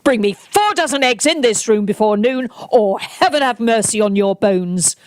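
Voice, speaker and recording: A woman's voice: a little high, expressive, normal speed, no room sound, clean studio sound, close to an everyday voice. A woman playing a blustering, bullying male squire and castle captain in a radio play, pompous and imperious. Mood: threatening. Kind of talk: theatrical radio play acting.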